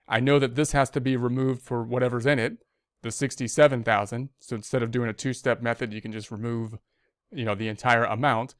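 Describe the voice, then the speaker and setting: A man with a clean, smooth voice teaches in an informal, conversational way. He explains things step by step and tends to restate his points. The sound is dry, with no room echo. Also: medium pitch, fairly even intonation, fairly quick. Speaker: accounting instructor. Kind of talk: informal step-by-step tutorial narration.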